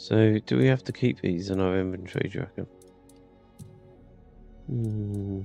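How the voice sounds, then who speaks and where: Very creaky, low-voiced British man. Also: moderate pace, dark tone. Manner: musing, thinking aloud in questions. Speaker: gamer, let's play host. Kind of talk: casual gameplay commentary. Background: faint game music and sounds.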